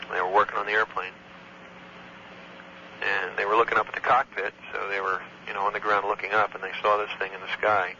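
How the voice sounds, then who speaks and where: A man giving a halting, matter-of-fact technical report as an air traffic controller, speaking conversationally over the telephone. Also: on the low side, bright, forceful tone. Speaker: air traffic controller, tower area supervisor. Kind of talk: phone report of an incident, conversational eyewitness account. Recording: dull lo-fi phone recording, faint hum.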